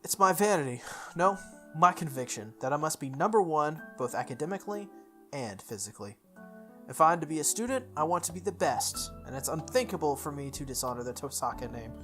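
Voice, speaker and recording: A woman reading a visual novel aloud in first person, with expressive delivery, occasional stumbles and her own asides. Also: sits low, brisk, soft background music. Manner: expressive, self-correcting reading.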